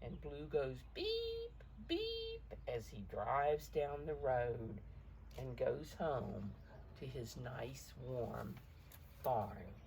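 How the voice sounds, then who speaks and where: A woman reading a picture book aloud to young children, expressively, pausing to explain the pictures in simple words. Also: rumbling low, very animated, gravelly, darkish tone, a little hiss or hum. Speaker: storybook reader for preschoolers.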